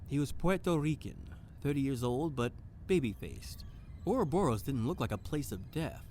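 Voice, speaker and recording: Casting: male actor as theatrical noir detective for audio drama, very animated delivery, flirtatious streak, some background noise. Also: mid-range voice, normal speed, close to an everyday voice. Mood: narrating. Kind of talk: audio drama acting and narration.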